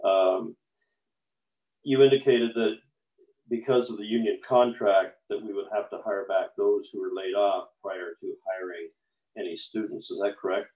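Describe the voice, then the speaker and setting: A man's voice: on the low side, fairly even intonation, moderate pace, dark tone. A man on a council video call, asking questions with many fillers and restarts. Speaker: city councillor. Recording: echoey distant lo-fi video call.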